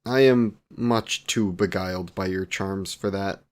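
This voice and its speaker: A man's voice: low-pitched, measured pace. A man playing a courtly, devoted, youthful vampire boyfriend in a romantic audio roleplay, with archaic, formal wording and tender endearments. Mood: flustered, indignant. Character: courtly, devoted 128-year-old male vampire boyfriend, youthful, doting.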